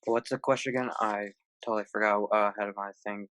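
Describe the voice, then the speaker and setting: A man from Colorado chatting casually as a gaming panel guest, in a halting, unpolished way. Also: on the low side, fairly even intonation, fairly bright tone, dry recording. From Colorado, United States. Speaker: tournament player, panel guest. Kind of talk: casual chat.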